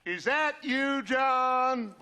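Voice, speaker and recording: An actor playing a charismatic gang leader in a western game, rhetorical and mock-genial with menace underneath. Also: male voice, extremely high pitch, very slow, bright, forceful tone, smooth, exaggerated voice. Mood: amused. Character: charismatic, menacing male outlaw gang leader, grandiose talker. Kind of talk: dramatic western video game acting.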